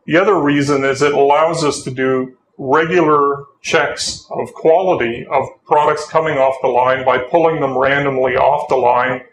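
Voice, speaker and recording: A man in his thirties giving a measured, technical walkthrough of a speaker testing area in a factory. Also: medium pitch, steady tone, rough recording. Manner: measured, technical, repeats words when restarting. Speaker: company spokesman giving a factory tour. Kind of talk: explanatory walkthrough. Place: indoor factory test area and equipment lab.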